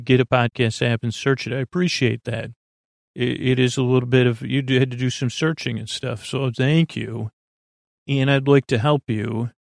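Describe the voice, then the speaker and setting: A man hosting a sleep podcast, with a creaky, soothing voice, rambling and often losing his thread. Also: medium pitch, dry recording, clean studio sound. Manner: meandering, loses his thread, many fillers. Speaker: podcast host.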